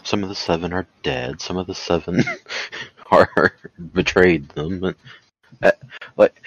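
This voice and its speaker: A man with a deep voice co-hosts a podcast in casual chat. He talks slowly and hesitantly, and the recording is dry and a little dull. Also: fairly even intonation, slightly rough, dry recording. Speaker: podcast co-host.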